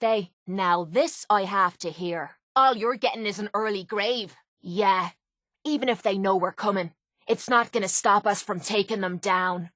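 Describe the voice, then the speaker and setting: Video game companion: actress as a young Irish woman brawler, blunt, sarcastic, hard-drinking, mid-pitched, recorded close and dry. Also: a bit bright, dry recording, lightly acted. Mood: wry, then cocky, spoiling. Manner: blunt, mocking, scrappy.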